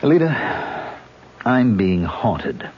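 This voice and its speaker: A bitter, haunted actor. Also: male voice, on the low side, big pitch swings, extremely slow, slightly rough, exaggerated voice. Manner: sardonic, defensive. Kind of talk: 1970s radio drama acting. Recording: dull old radio broadcast with faint hiss.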